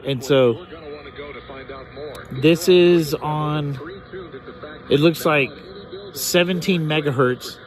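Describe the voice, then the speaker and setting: A man's voice: on the high side, very slow, pauses often, a crowd in the background, rough recording. A man giving a casual hands-on demonstration as a hobbyist, hesitant and thinking aloud as he goes. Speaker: hobbyist reviewer demonstrating a shortwave radio.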